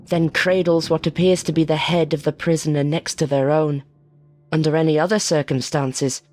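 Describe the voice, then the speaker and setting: A woman reading a scripted horror story as narrator, in a deliberate, ominous manner. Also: deep voice. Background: faint music.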